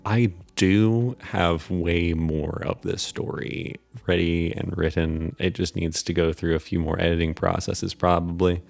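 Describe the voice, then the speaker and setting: A man hosting a channel, talking casually to viewers in a halting, informal, rambling way. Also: low-pitched, fairly even intonation, creaky, darkish tone, no room sound, faint music behind. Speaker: channel host and writer. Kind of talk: casual outro chat to viewers.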